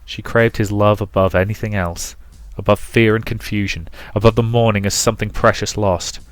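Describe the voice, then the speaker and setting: Low-voiced man narrating a game, dry sound. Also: flat delivery, normal speed, dry recording. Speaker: let's play host reading a visual novel. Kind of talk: dramatic narration with casual game commentary.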